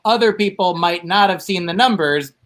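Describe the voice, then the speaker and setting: A man's voice: high-pitched, moderate pace, a bit bright. A man, a game show contestant, earnestly over-explaining his own joke at length.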